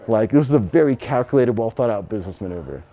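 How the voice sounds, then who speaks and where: Low-voiced, mellow young American man. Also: animated, fast talker. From Los Angeles, United States. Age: 20s. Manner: blunt, slangy, swearing freely. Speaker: interviewee, business owner. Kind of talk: casual interview answers.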